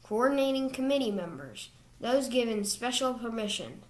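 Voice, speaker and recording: A woman reading procedural rules from slides in a measured, scripted narration. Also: fairly high pitch, sing-song, darkish tone, rough recording.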